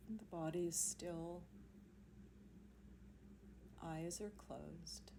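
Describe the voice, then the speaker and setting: A woman leading a guided yoga nidra meditation in a soothing, unhurried manner, leaving long pauses between phrases. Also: fairly low pitch, vocal fry. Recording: amateur recording with faint background noise.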